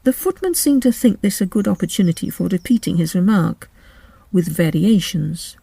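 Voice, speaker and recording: A woman's voice: medium pitch, expressive, normal speed, gravelly, warm tone, breathy edge, no room sound. A woman narrating a story expressively, as if reading a storybook aloud.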